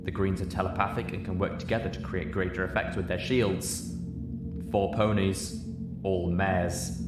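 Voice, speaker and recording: A man's voice: low voice, level tone, moderate pace, over loud music. A man reading a story aloud as a scripted narrator. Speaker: narrator, audiobook reader. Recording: echoey room, audible background noise.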